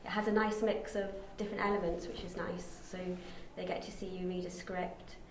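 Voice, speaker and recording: A woman's voice: fairly low pitch, level tone, fairly quick. A woman talking casually and unscripted, in a hesitant, self-correcting way. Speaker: auditioning actor. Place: indoor university room. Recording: distant, very echoey room, faint noise.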